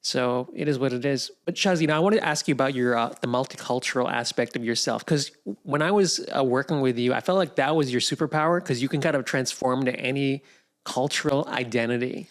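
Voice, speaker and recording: A man's voice: mid-range voice, brisk. A man co-hosting a podcast, talking in a reflective, easygoing way. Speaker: podcast host. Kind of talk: casual chat.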